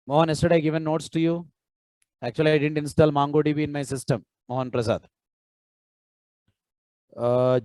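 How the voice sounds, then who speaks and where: A man leads an online programming class, talking students through each step and urging them to join in. He speaks slowly at a middle pitch, and the sound is close and dry, with no room echo. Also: a little mellow, clean tone. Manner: coaxing, repeats prompts to students. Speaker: programming instructor. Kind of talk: live online coding class.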